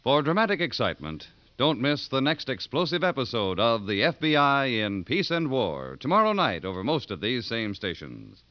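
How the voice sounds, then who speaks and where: A man's voice: mid-range voice, big pitch swings, moderate pace, hardly any pauses, dry recording. A man with an American accent reading program promos as a network radio announcer in 1950s style. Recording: muffled vintage radio recording. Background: music.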